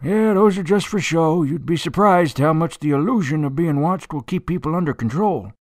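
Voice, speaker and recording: An actor playing a glib, obliging storage facility owner in a radio drama, eager to please. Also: male voice, a little high, expressive, normal speed, dry recording, close to an everyday voice. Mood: glib, helpful.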